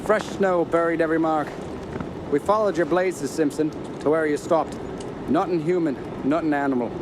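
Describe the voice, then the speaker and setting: An actor playing a learned, middle-aged rationalist uncle in a radio horror drama, measured and lecturing, encouraging yet dismissive. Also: male voice, fairly high pitch, close to an everyday voice. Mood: grave, dismissive. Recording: clearly noisy outdoor ambience.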